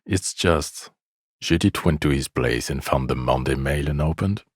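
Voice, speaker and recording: An actor playing a bitter, weary police detective in a video game, sardonic and exasperated. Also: male voice, extremely low pitch, unhurried, slightly rough, no room sound, studio quality, soft background music, heavily acted. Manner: sardonic, exasperated, foul-mouthed. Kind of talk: dramatic video game acting.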